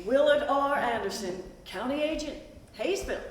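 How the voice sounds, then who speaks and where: A woman's voice: high voice, lively intonation, moderate pace. A woman playing plain-spoken rural townsfolk in a stage play, announcing pledges in a declarative manner. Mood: proud, declarative. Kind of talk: scripted stage play recitation. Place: stage performance at a festival. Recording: echoey hall, distant mic, noisy.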